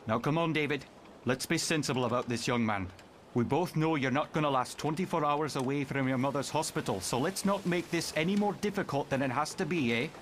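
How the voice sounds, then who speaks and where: A man playing a gruff, cocky police sergeant in a motion comic, blunt and swaggering. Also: a little high, brisk, fairly bright tone, slight background noise, close to an everyday voice. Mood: firm, coaxing.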